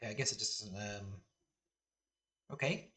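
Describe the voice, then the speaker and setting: A man's voice: on the low side, normal speed, bright, forceful tone, slight background noise. A young man casually chatting on a live game stream, rambling and self-deprecating, laughing now and then.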